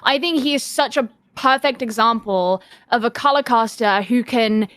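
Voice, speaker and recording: A woman's voice: fairly high pitch, normal speed, fairly bright tone, no echo. Reflective woman co-host, dry sound. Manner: reflective, explains with fillers. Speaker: podcast co-host and esports commentator. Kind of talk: casual podcast chat.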